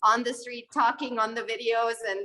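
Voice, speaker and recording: A young woman from Syria, a guest videographer, telling her story in a lively, conversational interview answer with many fillers and restarts. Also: a little high, normal speed.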